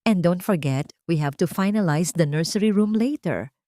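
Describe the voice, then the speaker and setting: A woman's voice: lowish voice, lively intonation, moderate pace, warm tone, no room sound, clean studio sound, natural voice. Woman narrator as a smug, condescending mistress. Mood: coaxing, possessive. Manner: condescending, coaxing. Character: arrogant, smug mistress, sweetly possessive and condescending.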